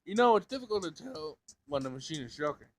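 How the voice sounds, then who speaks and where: A man's voice: a little high, big pitch swings, fast. A man voicing a polite, bewildered young human with a hesitant, questioning manner in a visual novel.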